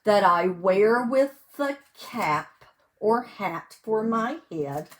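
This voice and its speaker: A woman's voice: medium pitch, lively intonation, extremely slow. A woman teacher reading a picture book aloud to young children at home, in a sing-song way, explaining simply and asking them questions. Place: indoors at home.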